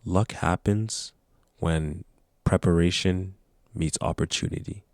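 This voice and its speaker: A man's voice: low voice, slow, no echo, clean studio sound. A nineteen-year-old man talking casually to camera, giving earnest, rambling motivational advice. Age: young adult. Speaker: vlogger.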